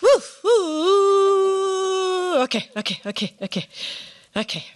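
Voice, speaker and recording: Animated woman emceeing an awards ceremony. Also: extremely high pitch, slow, slight background noise. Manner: animated, playful, exclamatory asides; drops Japanese phrases into English. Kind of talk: live awards ceremony hosting, partly ad-libbed.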